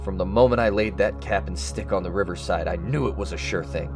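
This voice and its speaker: An actor playing a smug, scheming murderer after an inheritance in an audio drama, speaking theatrically. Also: male voice, deep voice, a little fast, a little mellow, faint music behind. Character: scheming murderous male heir, smug, feigning grief.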